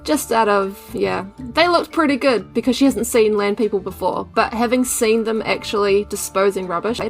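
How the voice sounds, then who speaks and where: New woman playing a tabletop game, mid-pitched. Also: normal speed, faint music behind. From New Zealand. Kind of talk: casual game talk.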